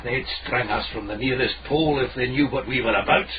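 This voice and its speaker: An actor playing a wary, grumbling conspirator, guarded, in a radio drama. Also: male voice, mid-range voice, brisk, background noise, lightly acted. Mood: wary, grim. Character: wary, grim conspirator fearing discovery. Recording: muffled, music behind.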